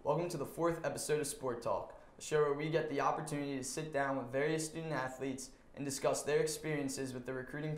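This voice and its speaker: A man's voice: mid-range voice, echoey room. A young man hosting a student sports interview show, asking friendly, brief questions. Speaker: host.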